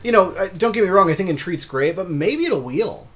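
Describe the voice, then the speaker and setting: A man with a fairly high voice, relaxed and easygoing as he weighs card picks with his co-host. He is agreeable and drops casual slang. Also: brisk. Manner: laid-back, agreeable, slangy. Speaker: podcast co-host. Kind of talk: casual card-drafting chat.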